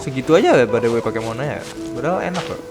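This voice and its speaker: Indonesian man gaming over loud music. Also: medium pitch, very fast. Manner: slangy, excitable. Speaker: gaming content creator. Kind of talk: casual gameplay commentary. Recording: loud game music behind voice. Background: loud game music.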